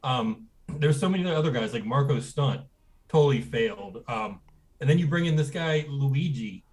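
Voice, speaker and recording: A man's voice: medium pitch, lively intonation, moderate pace, low-quality recording. Blunt, ranting man co-host. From Texas. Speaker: podcast co-host. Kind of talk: casual banter.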